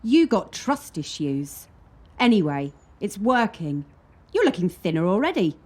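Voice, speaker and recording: Lively young female gymnast, played by an actress. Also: mid-range voice, unhurried. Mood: probing, frank. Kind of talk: radio play acting.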